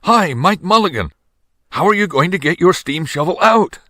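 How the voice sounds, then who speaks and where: Man putting on excited, high-pitched townsfolk calling out. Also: moderate pace, no room sound, studio quality. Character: excited crowd of small-town onlookers, loud and shrill. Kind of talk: children's storybook reading.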